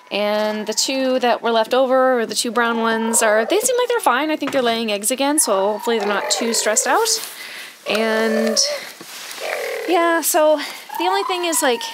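A woman in her thirties from Canada, filming herself outdoors in a rural yard at arm's length, talking softly and rambling with many fillers, sad. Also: mid-range voice. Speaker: homestead vlogger. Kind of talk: casual vlog to camera. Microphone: camera held at arm's length. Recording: outdoor camera audio with background noise.

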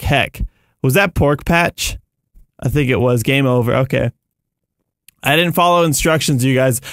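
A man's voice: a little high, vocal fry, fairly bright tone, dry recording, studio quality. A man in his twenties reacting casually and self-deprecatingly to a game. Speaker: gamer.

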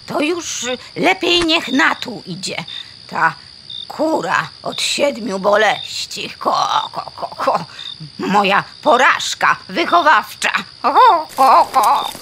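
A woman playing a sharp-tongued, exasperated hen in a children's audio play, scolding sarcastically with bursts of clucking. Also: a little high, very animated, slow pace, background noise, exaggerated voice.

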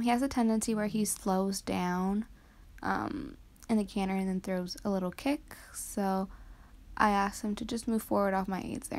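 Soft-spoken teen girl with gravelly fry. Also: medium pitch. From Canada. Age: teens. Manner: soft, hesitant with frequent ums. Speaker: vlogger. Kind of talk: voice-over commentary on riding footage.

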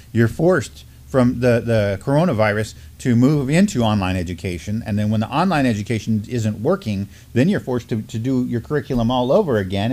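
A man's voice: mid-range voice, a little mellow. Male co-host, middle-aged, mid-range voice, informal news discussion, thinks aloud as he goes. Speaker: co-host of a community news show. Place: indoor studio desk, backdrop.

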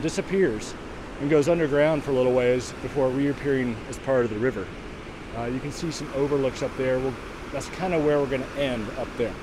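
Man, mid-pitch, talking while walking, a little winded, outdoor camera mic with heavy wind and water noise. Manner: chatty, talks between breaths while climbing. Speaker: vlogger and virtual walk guide. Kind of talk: casual narration while hiking. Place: outdoors on a snowy trail by a waterfall.

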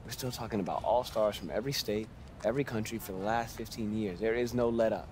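Actor as a low-voiced tough-love American mentor. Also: male voice, fast, a little mellow, lightly acted. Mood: challenging. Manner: motivational, punctuated with rhetorical questions. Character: tough-love male basketball mentor, demanding but believing. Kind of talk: naturalistic video game cutscene acting. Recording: clear outdoor ambient noise.

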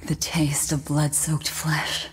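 An actress playing an embittered, vengeful young woman, narrating a dark game story in a grim, deliberate manner. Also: low voice, completely flat delivery, breathy edge, whispered, full character voice. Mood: bitter, brooding.

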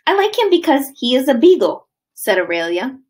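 Female teacher, thirties, highish pitch, very animated sing-song read-aloud for young kids, recorded at home. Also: a little fast, slight background noise. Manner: expressive, sing-song storytelling delivery. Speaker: kindergarten teacher. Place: living room at home, indoors.

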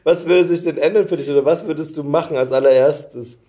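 A man's voice: on the high side, a little fast, dark tone. A man hosting a podcast in a casual, rambling way, hesitating and thinking aloud as he goes. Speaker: podcast host and interviewer. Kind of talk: casual chat.

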